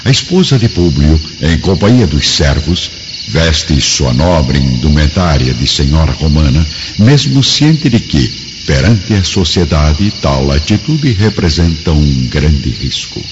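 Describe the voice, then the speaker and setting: A man with a Brazilian accent narrating a radio drama solemnly, in measured scripted phrases. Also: a bass voice, very animated, over music. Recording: dull lo-fi recording, noisy ambience.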